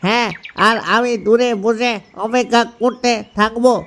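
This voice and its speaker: A man's voice: extremely high pitch, little pitch movement, moderate pace, a bit bright, clear, resonant tone. A man voicing an easygoing, doting father duck husband in a cartoon. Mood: eager. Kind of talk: cartoon dialogue.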